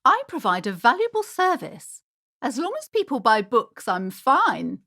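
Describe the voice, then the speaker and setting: A woman's voice: fairly high pitch, huge pitch swings, unhurried, bright, forceful tone, exaggerated voice. An actress playing a fussy, superstitious middle-aged woman who runs a bookshop in a video game, prim and haughty, with dramatic emphasis. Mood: proud salesmanship. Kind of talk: theatrical video game character acting.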